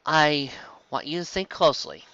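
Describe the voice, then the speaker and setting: A woman narrates fan fiction in a lowish, forceful voice with very lively intonation. The recording is close and dry but slightly dull and lo-fi. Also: moderate pace, no echo. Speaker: amateur story reader.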